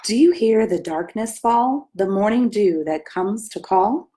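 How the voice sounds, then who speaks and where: An American woman, a pastor, reading a children's story aloud with gentle, simple asides and questions for young listeners. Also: medium pitch, expressive, measured pace. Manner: gentle, simple questions addressed to small children, often hushed.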